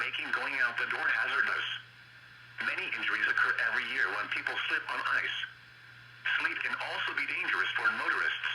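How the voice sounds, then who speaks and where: A man reading scripted public information as a weather radio announcer, in a formal, measured, synthetic-sounding delivery over the radio. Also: mid-range voice. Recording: roomy, distant, noisy weather radio broadcast.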